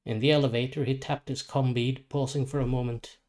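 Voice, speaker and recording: Guy telling a spooky superhero story, mid-pitched voice, dramatic narration. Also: little pitch movement, fairly quick, darkish tone.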